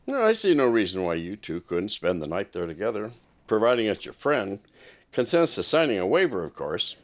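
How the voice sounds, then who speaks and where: Sheriff's deputy, played by a man: deepish, animated, obliging, courteous audio drama delivery. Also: fairly quick. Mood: helpful. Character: courteous, helpful small-town male sheriff's deputy.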